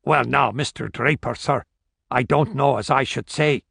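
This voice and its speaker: A man's voice: mid-range voice, normal speed, gravelly, a bit bright, husky, dry recording, studio quality, full character voice. A man voicing a friendly middle-aged barkeep, deferential and spinning a tale. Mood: telling a tale. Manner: deferential, storytelling.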